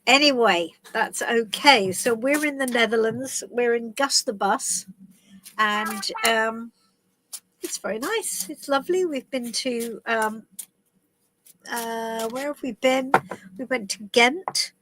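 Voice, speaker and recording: A woman's voice: on the high side, takes it slowly, a bit bright, slight background noise, rough recording. A woman with a head cold giving chatty, rambling tarot readings on a live stream while travelling. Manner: chatty and rambling, with many ums and restarts. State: has a head cold, stuffy and coughing. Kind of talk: casual live-stream chat and card reading.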